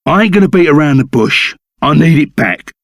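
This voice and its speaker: An actor playing a loyal, excitable reformed bandit sidekick in a video game, with a Cockney accent and blunt, slangy speech. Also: male voice, on the high side, fairly quick, dry recording, studio quality. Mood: earnest, urgent. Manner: rough colloquial slang, blunt and emphatic. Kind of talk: video game character voice acting.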